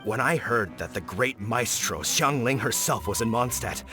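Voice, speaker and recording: A pushy, bright-voiced meat seller. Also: male voice, mid-range voice, lively intonation, normal speed, faint music behind. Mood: pitching. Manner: salesman-like, persuasive. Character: male ranch meat supplier, eager and pushy. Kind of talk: video game cutscene dub.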